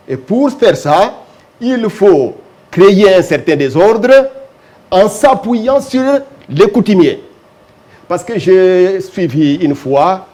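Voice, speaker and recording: A man, a local notable answering questions in a TV interview, measured and insistent, repeating key phrases for emphasis. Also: high voice, darkish tone. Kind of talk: TV talk show interview.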